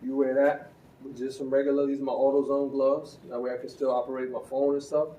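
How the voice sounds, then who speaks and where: A man's voice: mid-range voice, level tone, fairly quick, distant mic, highs cut off. A man giving a casual, conversational gear review as a motorcycle vlogger, with easy fillers and restarts. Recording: roomy, from a bit off the mic, faint ambience.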